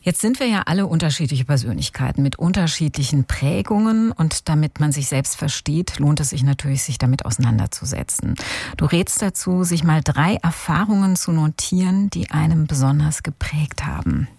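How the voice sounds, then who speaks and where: A woman's voice: sits low, normal speed, vocal fry, warm tone, breathy edge, no room sound. A woman hosting an interview, putting questions in a conversational way. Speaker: interview host.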